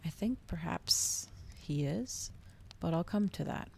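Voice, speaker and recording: A woman reading the part of a reflective, world-weary male vampire in a storybook, in measured, brooding tones. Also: lowish voice, lively intonation, gravelly, a little mellow, whispered, full character voice.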